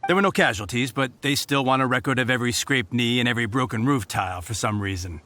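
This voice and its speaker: A man's voice: mid-range voice, quick pace, pushed and bright, a little hiss or hum, lightly acted. A man playing an earnest, mildly grumbling, self-deprecating knight in a video game, conversational in delivery.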